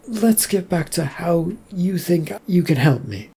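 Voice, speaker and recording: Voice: mid-range voice, animated, unhurried, warm tone, slight background noise, voice pushed up. A reader voicing a guarded office woman who answers in dry, clipped replies. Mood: curt.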